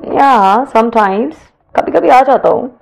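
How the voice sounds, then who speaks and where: A woman voicing a calm, reserved young male college student in an audio story, with an understated delivery. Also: fairly high pitch, slow, vocal fry, low-quality recording. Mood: calm, guarded. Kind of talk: audio story character dialogue.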